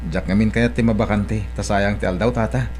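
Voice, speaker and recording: A man's voice: on the low side, fairly even intonation, fairly quick, darkish tone, a little hiss or hum, lightly acted. A man playing a dutiful, hardworking young son with heartache in an Ilocano audio drama, polite and subdued.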